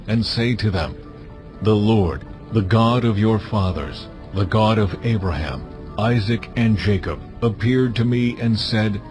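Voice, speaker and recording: Casting: male actor as commanding deity for audio scripture drama, low-pitched, grave, measured, strong music underneath. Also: natural voice. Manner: solemn, deliberate, authoritative. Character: God, commanding solemn male divine voice.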